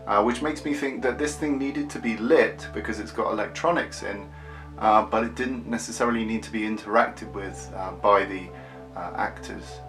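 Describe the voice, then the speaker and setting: A man with a fairly low voice and a crisp, bright edge over a crackly, fry-laden texture. He talks casually as a collector showing an item up close, thinking aloud as he goes, with faint guitar music behind him. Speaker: film prop collector presenting an unboxing. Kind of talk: casual unboxing and close-up commentary.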